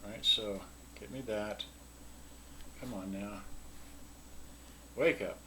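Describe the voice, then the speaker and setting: A man giving a casual, unscripted product demonstration, halting and scattered as he mutters to himself. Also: fairly low pitch, bright, forceful tone. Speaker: seller demonstrating vintage cassette radio. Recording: very noisy home video with clicks.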